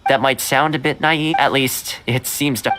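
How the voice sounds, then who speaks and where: An actor playing a kind, chatty young male servant who loves stray animals, speaking gently and conversationally in a video game. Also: medium pitch, fairly even intonation, normal speed, natural voice. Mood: thoughtful, gentle. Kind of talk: video game voice acting, storytelling dialogue.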